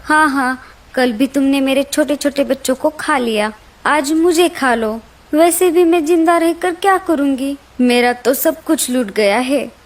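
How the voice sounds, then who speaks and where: A woman's voice: sits high, a little slow. A woman voicing a clever, brave mother sparrow in a children's cartoon story, dramatic and pleading. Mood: pleading, plaintive.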